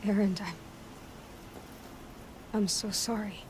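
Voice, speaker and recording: A woman voicing a determined, compassionate young huntress in a video game, musing in a measured way. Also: medium pitch, steady tone, noisy background, natural voice. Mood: sorrowful. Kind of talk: video game cutscene acting. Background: background music.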